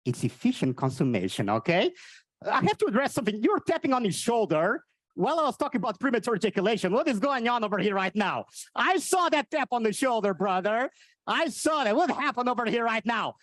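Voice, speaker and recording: A Portuguese man with a Portuguese accent and an odd, cartoonish voice, doing energetic stand-up and teasing the audience on stage. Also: extremely high pitch, fairly quick, dry recording. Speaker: stand-up comedian. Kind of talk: live stand-up with crowd work. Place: on stage at a live event.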